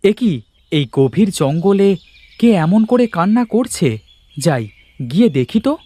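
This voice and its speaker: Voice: medium pitch, sing-song, slow, dark tone, no room sound. A performer voicing a kind, dutiful village boy in a cartoon, earnest and eager in manner. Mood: puzzled.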